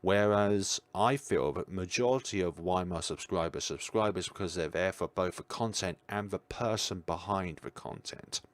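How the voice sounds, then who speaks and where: A man's voice: low-pitched, moderate pace, hardly any pauses, no room sound. A tired man musing aloud in a casual livestream chat, answering his viewers' questions as he goes. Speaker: streamer.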